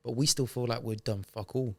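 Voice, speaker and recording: A man's voice: medium pitch, steady tone, brisk, creaky. A man co-hosting a podcast, talking earnestly and encouragingly in casual chat. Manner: earnest, motivational. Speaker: podcast co-host.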